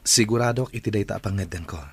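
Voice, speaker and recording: A man's voice: fairly low pitch, rapid-fire, husky. A man playing a devoted young lover, tender and sincere, in an Ilocano audio drama. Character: devoted young man declaring his love.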